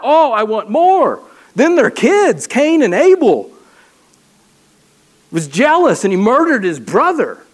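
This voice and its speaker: A man preaching a sermon from a church stage, animated and full of rhetorical questions and repeated phrases. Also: very high voice. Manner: animated, acts out people's inner voices. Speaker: pastor. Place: church stage with a ladder prop.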